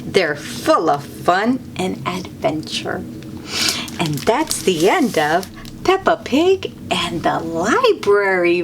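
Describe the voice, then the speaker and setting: A woman's voice: fairly low pitch, a bit bright, slight background noise. A woman reading a picture book aloud to young children, exclaiming and adding encouraging asides addressed straight to them.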